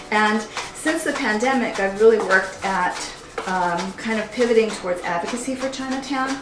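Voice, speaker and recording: A middle-aged American woman talking informally and explaining things as a home cook presenting a cooking demonstration. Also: mid-range voice. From United States, partly Hawaii. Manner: conversational, explanatory. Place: indoors, roomy kitchen. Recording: echoey room with music behind.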